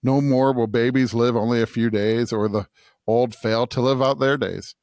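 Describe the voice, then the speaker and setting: A man's voice: mid-range voice, fairly even intonation, normal speed, a little mellow, no echo, clean studio sound. A man, co-host of a podcast, reading a scripture passage aloud.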